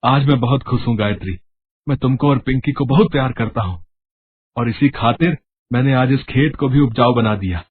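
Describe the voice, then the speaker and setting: A man playing a poor, loving farmer and father, earnest and melodramatic, in an animated moral story. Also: medium pitch, normal speed, breathy edge, no room sound, narrow, tinny audio, close to an everyday voice. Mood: happy, affectionate.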